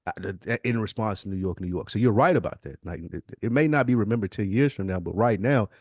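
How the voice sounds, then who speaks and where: A man's voice: fairly low pitch, quick pace, darkish tone, no echo, clean studio sound. A man in casual podcast conversation, talking as a co-host in a slangy, profane way. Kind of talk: casual chat, music commentary.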